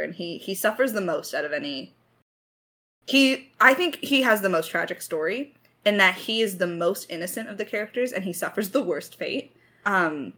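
A woman's voice: medium pitch, a bit bright. A woman chatting casually in a creator Q&A, effusive and playfully dramatic, rambling along in slangy speech. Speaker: lead writer and voice actor of an audio drama.

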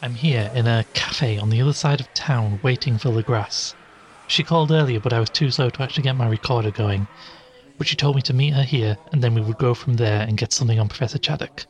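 A man acts as an inquisitive male podcaster in a horror audio drama. He has a mid-pitched voice and tells his investigation in the first person, careful and questioning. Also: fast, lightly acted. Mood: uneasy, reflective.

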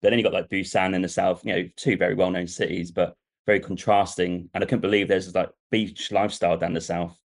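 A man hosts a podcast and keeps the talk casual, drifting through long questions. He speaks quickly, with a voice that sits a little low. He sounds like an easy conversational host. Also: level tone, vocal fry. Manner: chatty, asks long rambling questions.

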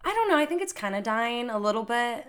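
A slightly hoarse woman in her twenties from Los Angeles, chatting as a podcast guest in an upbeat way, full of "like" and "you know". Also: a little high, very animated, fast talker, a bit bright. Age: 20s. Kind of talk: casual chat.

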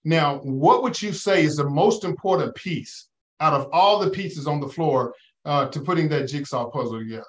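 This is a man with a high voice answering listener questions as a financial advisor. He works in rhetorical questions and uses analogies. He keeps checking that the listener is with him, the way a preacher would. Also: lively intonation, moderate pace. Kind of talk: call-in advice talk.

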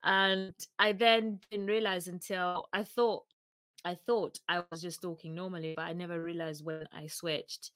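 A woman's voice: fairly low pitch, flat delivery, a little slow, fairly bright tone, dry recording. A woman from Uganda with a mostly English accent carrying Ugandan traces, chatting casually and laughing often. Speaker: podcast guest co-host. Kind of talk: casual chat.

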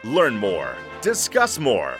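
A man's voice: lowish voice, huge pitch swings, slow pace, a bit bright. A man gives a scripted show introduction in a dramatic announcer style. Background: music.

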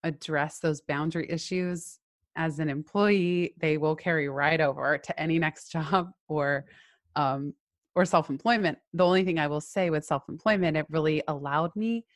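A woman with a fairly low voice speaks as an interview guest, candid and animated as she shares her own experience. The sound is dry and close, with no room echo. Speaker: guest, self-employed entrepreneur. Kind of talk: casual interview chat.

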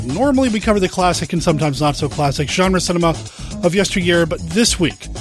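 A man hosting his podcast, opening the show in a measured, earnest way. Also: fairly high pitch. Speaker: podcast host. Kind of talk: scripted podcast introduction.

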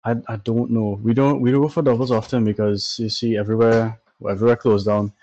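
A man's voice: lowish voice, level tone, brisk, mellow, muffled recording. A tired man with a Trinidadian accent, talking animatedly and swearing in a casual podcast chat. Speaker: podcast co-host.

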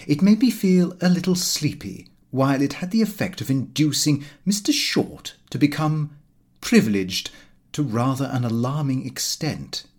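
A man reading a comic first-person diary aloud in an expressive, fussy storytelling manner. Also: on the high side, slightly rough. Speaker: audiobook narrator.

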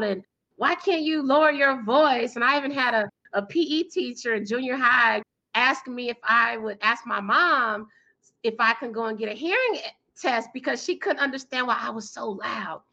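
A woman in her thirties or forties, guest in an interview, talking energetically and emphatically with a loud, powerful voice and frequent laughter. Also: fairly high pitch. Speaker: guest, PR firm founder and speaker.

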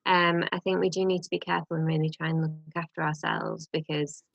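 A young British woman chatting as a podcast co-host, her voice low and gravelly with a slight cold croak. She rambles along with plenty of fillers, recorded close and dry with no room sound. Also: steady tone, brisk, no echo. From Britain. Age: young adult. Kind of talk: casual chat.

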